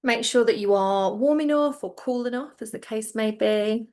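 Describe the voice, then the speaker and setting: A woman teaching yoga nidra, guiding listeners into relaxation in a gentle, reassuring, informal way. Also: fairly high pitch, normal speed. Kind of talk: guided relaxation instruction.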